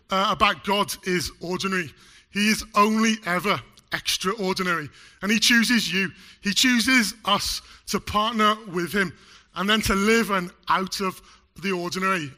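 A man's voice: sits high, bright, forceful tone. A man preaching a sermon in a church, chatty and jokey, still getting over a chest cold. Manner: chatty, jokey, loose with fillers. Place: church hall.

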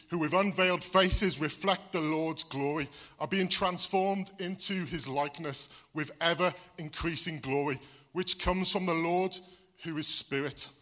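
A man's voice: a little high, bright, forceful tone. A man preaching a sermon, raised in England and Wales, with a Midlands accent touched by a Welsh lilt, talking in a conversational way and often saying "you see". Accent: English Midlands with a slight Welsh lilt.

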